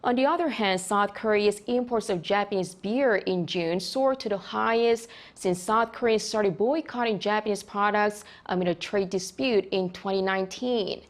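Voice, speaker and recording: A woman news anchor reads short items in a clear, steady voice. Her middle-pitched voice carries a polished, scripted delivery. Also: normal speed, few pauses.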